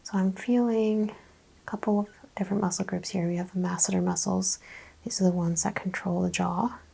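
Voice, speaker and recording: A woman speaks softly and gently as a chiropractor in an ASMR roleplay, often dropping to a whisper. Her voice is creaky and mellow, with a breathy edge. She asks and explains in short, unhurried phrases. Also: fairly low pitch, steady tone, poor audio. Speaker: ASMR host playing a chiropractor. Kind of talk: ASMR roleplay, soft-spoken exam.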